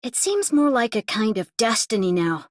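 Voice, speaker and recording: A woman's voice: fairly high pitch, sing-song, normal speed, dry recording, clean studio sound. A woman voicing a determined, optimistic young squad operator in a game, earnest and composed. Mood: sincere, upbeat. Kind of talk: scripted game voice lines.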